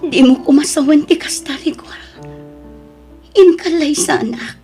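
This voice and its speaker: Actress as wounded, pleading wife in audio drama; high-pitched, Ilocano accent, faint music bed. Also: female voice, measured pace, warm tone. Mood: hurt. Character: hurt, pleading pregnant young wife.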